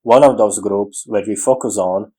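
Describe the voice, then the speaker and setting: A man with a Slovak accent, English being his second language, talks in an unscripted, meandering way. His voice sits on the lower side. He often restarts sentences and leaves out small words. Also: moderate pace, dark tone, slightly rough. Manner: rambling, with frequent restarts and dropped articles. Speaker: writing podcaster. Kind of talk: casual monologue on story writing.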